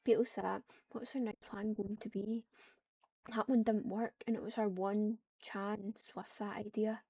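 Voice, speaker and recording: A woman's voice: mid-range voice, level tone, unhurried, warm tone, breathy edge. A woman improvising story narration as she roleplays in a game. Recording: dull, muffled lo-fi microphone.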